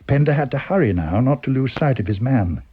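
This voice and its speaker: A man's voice: medium pitch, animated, brisk, slightly rough, narrow, tinny audio. A man with a British accent reading a story aloud as dramatic scripted narration. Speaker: story reader.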